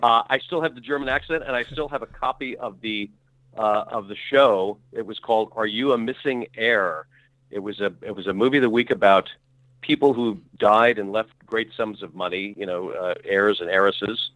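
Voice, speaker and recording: A middle-aged actor speaking as a podcast guest, telling long stories in a rambling, self-correcting way full of fillers. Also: male voice, on the low side, normal speed, fairly bright tone, no echo, low-quality recording. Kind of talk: relaxed conversational storytelling.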